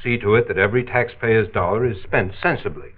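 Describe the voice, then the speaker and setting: An actor playing a middle-aged American father and thrifty county supervisor, measured and dryly argumentative, in 1940s radio drama style. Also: on the low side, breathy edge. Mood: measured, earnest. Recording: muffled, narrow-band 1940s transcription disc.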